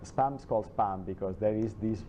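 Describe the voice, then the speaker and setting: A man giving an informal classroom lecture, chatty and digressive, with many halting restarts and asides. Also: fairly low pitch, mellow, a little hiss or hum. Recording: dull lo-fi classroom recording.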